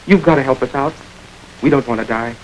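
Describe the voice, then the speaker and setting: A man's voice: medium pitch, a little fast, natural voice, poor audio. An actor playing a frightened young man pleading for his life in a 1940s radio drama. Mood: desperate. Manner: urgent, pleading. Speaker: Tommy, accused young man. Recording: hissy old radio recording.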